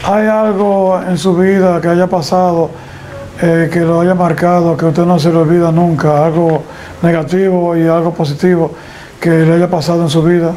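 An older man interviewing an elderly guest at home, asking clear, explanatory questions. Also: on the high side, flat delivery, normal speed, warm tone, slight background noise, rough recording. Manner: clear, explanatory, leads with prompts. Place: living room at home. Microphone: lapel mic.

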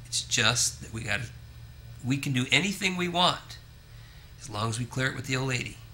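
Man, very bright voice, chatty, joking asides, road trip vlog, faint background noise. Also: mid-range voice, lively intonation, a little fast, low-quality recording. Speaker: vlogger filming his own road trip. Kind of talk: casual self-narration to camera. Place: on the road and in a parking lot.

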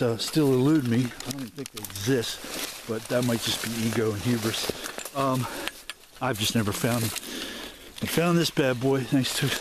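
A man narrates as he pushes through thick woods, holding his camera as he goes. He talks in a loose, rambling way, full of ums and restarts, at a middling pitch. A faint outdoor hiss sits under the voice. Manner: rambling, many fillers and restarts. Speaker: explorer vlogger. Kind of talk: walk-and-talk vlog narration. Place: dense overgrown woods outdoors. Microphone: handheld camera mic while walking.

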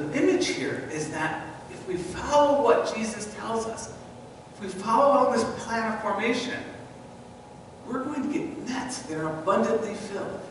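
A middle-aged man preaching a sermon as pastor in a church sanctuary, animated and gesturing as he walks before the altar. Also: sits high, a little hiss or hum. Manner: animated, sweeping arm gestures while walking. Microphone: far camera in the church. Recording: echoey church from a distance.

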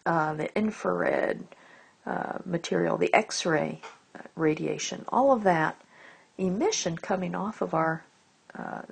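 A woman lectures at a slow, measured pace, in a fairly low voice with a creaky edge. She explains things step by step, leaning deliberately on the key words. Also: darkish tone. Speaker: astronomy lecturer. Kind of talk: explanatory lecture over slides.

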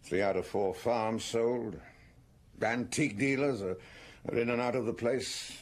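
An actor playing a brusque, matter-of-fact country doctor in a British radio drama, offhand and a little hesitant. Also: male voice, medium pitch, a little slow, close to an everyday voice. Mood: grave, matter-of-fact. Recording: old radio broadcast, faint noise.

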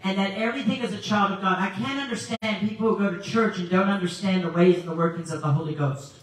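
A woman's voice: medium pitch, fast talker. A woman in her sixties from South Africa, a guest evangelist preaching with a handheld mic and telling stories with laughter. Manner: animated storytelling with laughs. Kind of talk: preaching sermon. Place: large church sanctuary, indoors. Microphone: handheld mic, filmed by far camera. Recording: very echoey hall from far off.

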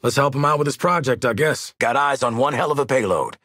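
An American man voicing a cocky, gruff, sardonic assassin in a video game, with streetwise slang and dry wisecracks. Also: medium pitch, brisk, a bit bright, dry recording, lightly acted. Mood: confident banter. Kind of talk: isolated game combat barks and banter.

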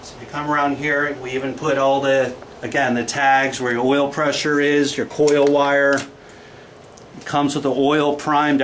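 A man's voice: medium pitch, fairly bright tone. A man in his forties gives an informal product walkthrough as an engine builder, plain-spoken and salesman-like, listing specs in clipped phrases. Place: small indoor engine workshop. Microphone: camera microphone a few feet away. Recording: echoey small room, distant camera microphone, background noise.

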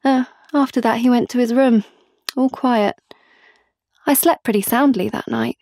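A fairly high teenage girl's voice, dry and close. She is a blunt, chatty sister telling her story wryly in an audio play. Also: normal speed, a little mellow, no echo. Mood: matter-of-fact. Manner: chatty, wry. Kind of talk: dramatic monologue in audio play.

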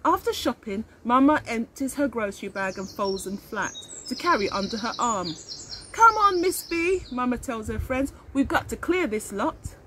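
Casting: woman, middle-aged, highish voice, expressive early-years storyteller, recorded outdoors with light birdsong and ambience. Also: moderate pace, slight background noise. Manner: animated, questioning, addresses children directly. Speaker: teacher reading to young children. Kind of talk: expressive read-aloud of a picture book with explanations for children. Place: outdoors in a leafy garden.

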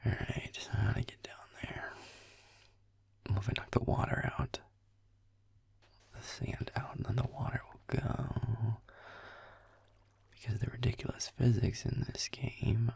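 A man playing a grumpy, sarcastic werewolf boyfriend in an audio roleplay, muttering dry game commentary in a husky, whispery voice. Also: darkish tone, dry recording, full character voice. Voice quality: husky, gravelly, whispery low voice.

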